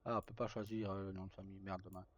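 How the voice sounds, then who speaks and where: A man's voice: sits low, little pitch movement, very fast. A man streaming a game, thinking aloud in casual, offhand mutters to himself, on dull and noisy audio. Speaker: live streamer playing a game.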